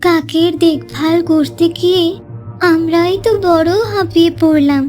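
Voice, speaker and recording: The kindly little hen bird in a children's cartoon, piping very high and earnest from her nest over soft music, acted by a woman. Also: steady tone, measured pace. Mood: fed up. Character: small kind, caring female bird, dutiful wife. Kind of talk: scripted cartoon dialogue.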